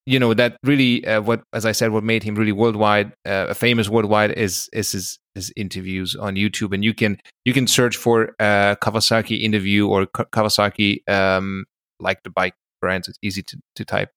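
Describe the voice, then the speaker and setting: Low-voiced man chatting in a dry room. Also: little pitch movement, normal speed, fairly bright tone, no echo. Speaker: podcast co-host. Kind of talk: casual conversational storytelling.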